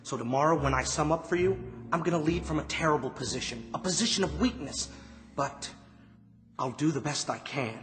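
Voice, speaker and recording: An actor playing an earnest, exasperated defense lawyer in a radio drama. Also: male voice, on the high side, fairly quick, a bit bright, natural voice. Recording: music under the voice.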